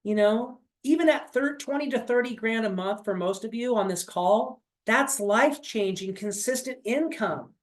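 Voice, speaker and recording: A man's voice: extremely high pitch, normal speed, hardly any pauses. A man in his late forties leading a weekly motivational sales-coaching call, talking in a repetitive, encouraging way with frequent fillers. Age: 40s. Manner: coaching, repetitive, pep-talk with many fillers.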